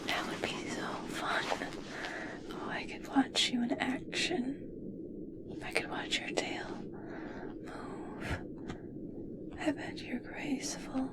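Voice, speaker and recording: A woman playing a gentle, obsessed scientist fascinated by merpeople in a whispered ASMR roleplay, speaking softly, slowly and soothingly. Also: high voice, steady tone. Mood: fascinated, dreamy. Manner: soft, soothing, slow, coaxing.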